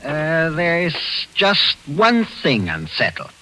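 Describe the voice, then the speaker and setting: An actor playing a cold, ruthless German general with a German accent, in 1940s radio acting style. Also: male voice, on the high side, wildly swooping pitch, takes it slowly, pushed and bright, a little hiss or hum, heavily acted, rough recording. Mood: menacing. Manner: cold, insistent, menacing. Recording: old radio broadcast.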